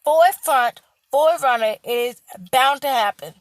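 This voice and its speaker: A young woman who works in healthcare, hoarse with a cracking voice, lecturing bluntly, repeating herself and swearing for emphasis. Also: high voice, moderate pace, no room sound. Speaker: healthcare worker. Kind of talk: casual vlog rant.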